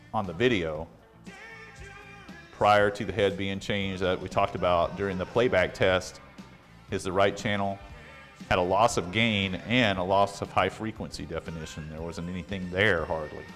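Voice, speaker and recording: A man's voice: deep voice, a bit bright. A man talking casually and plainly as he walks through repairing and testing a reel-to-reel deck. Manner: plainspoken, practical, slightly blunt. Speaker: vintage audio repair technician.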